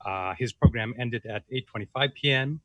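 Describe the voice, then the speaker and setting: A man reading out minutes as a club secretary on a video call, in a halting manner. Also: medium pitch, no room sound, highs cut off. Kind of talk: reading minutes at an online meeting.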